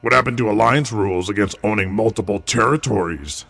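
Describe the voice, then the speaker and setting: Gravelly, grumbling old wrestling veteran, acted by a man. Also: on the low side, fairly quick, a bit bright. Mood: scornful. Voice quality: gravelly, raspy. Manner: grumbling, curt, cynical. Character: miserable, stubborn old washed-up wrestling veteran. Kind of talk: audio drama dialogue.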